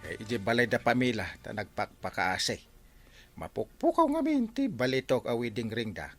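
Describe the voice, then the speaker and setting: A man acting an excitable village man in an Ilocano radio comedy drama, with an Ilocano accent. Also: mid-range voice, normal speed, soft background music. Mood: explaining.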